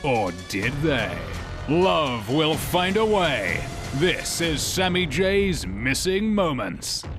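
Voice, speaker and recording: A man's voice: fairly high pitch, big pitch swings, takes it slowly. An Australian man reading a scripted, tongue-in-cheek intro as a mock-dramatic narrator. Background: prominent music bed.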